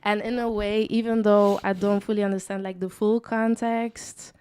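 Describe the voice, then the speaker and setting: A woman from Chile, a guest DJ, talking casually in a radio interview with lots of "like" and animated asides. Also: medium pitch, normal speed, clear, resonant tone. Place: radio studio.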